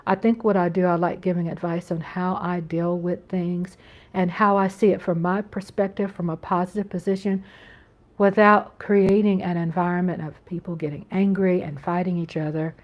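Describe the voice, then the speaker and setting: A woman's voice: on the low side, level tone, moderate pace, lo-fi sound. An American woman hosting a casual live-stream chat from home, talking in an earnest, rambling way with frequent restarts. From United States. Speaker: channel host.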